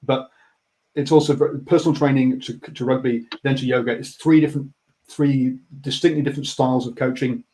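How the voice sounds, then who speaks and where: A man's voice: mid-range voice, steady tone, a little fast, mellow, rough recording. A man hosting a sports radio interview, stammering through restarts while thinking aloud. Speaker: radio host.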